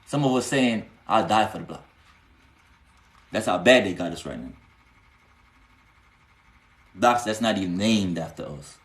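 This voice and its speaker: A man's voice: fairly low pitch, lively intonation, a little slow, long pauses, echoey room. A man, teaching spiritual lore casually on a live stream and answering viewers, often asking "you know what I'm saying" and rising into emphatic bursts.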